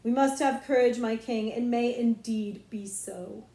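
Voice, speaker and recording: A bold, imaginative girl with regal airs, acted out by a woman reading a storybook. The voice is higher-pitched and mellow, with lofty phrasing. The room echoes around it. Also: measured pace. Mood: brave, solemn. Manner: lofty mock-archaic speech. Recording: roomy echo.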